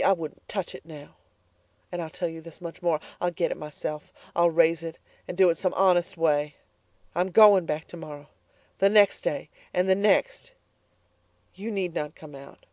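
A woman reading the part of a proud, determined young farm girl, speaking high and earnest. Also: dark tone, no echo, lightly acted. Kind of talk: audiobook character voice.

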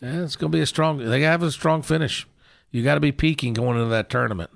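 A man's voice: mid-range voice, quick pace, vocal fry, dry recording, studio quality. A man co-hosting a sports show in casual, folksy conversation, often trailing off into asides.

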